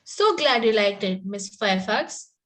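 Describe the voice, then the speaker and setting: A woman's voice: medium pitch, animated, slow pace, a bit bright. A woman reading the part of a sweetly polite but spirited young country girl in a Victorian stage comedy, pointed in manner and stumbling a little over lines. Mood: barbed sweetness. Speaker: table-read participant.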